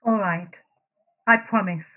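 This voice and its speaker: A woman's voice: mid-range voice, unhurried, natural voice. An actress playing an anguished, guilt-ridden woman in a secret love affair in a radio play, earnest and fretful. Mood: subdued, resigned. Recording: thin phone-like sound.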